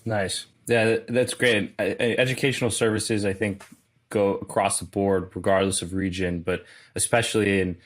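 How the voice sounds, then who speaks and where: A man hosting an interview in a lowish voice. He affirms the guest's points and asks curious, stumbling follow-up questions, often restarting his sentences. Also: little pitch movement, moderate pace. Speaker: interviewer host.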